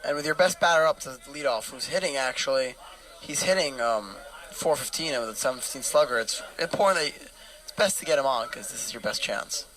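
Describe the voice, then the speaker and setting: A man's voice: medium pitch, animated, fairly quick, a bit bright, rough recording. A man giving color commentary on a baseball game, talking it through conversationally. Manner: conversational, thinking aloud about strategy. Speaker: color analyst.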